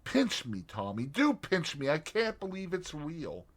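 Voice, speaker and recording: Brief: man putting on a bright, high young woman, eager and gushing, big lively swings. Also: slightly rough, heavily acted. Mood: excited. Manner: breathless, gushing and quick to exclaim. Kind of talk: audiobook character voice.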